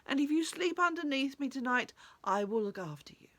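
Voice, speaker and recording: A woman's voice: high voice, lively intonation, a bit bright. A woman voicing a small spindly tree in lifelong pain, groaning and wailing in a self-pitying storybook way. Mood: grateful. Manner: wailing, groaning, drawn-out moans.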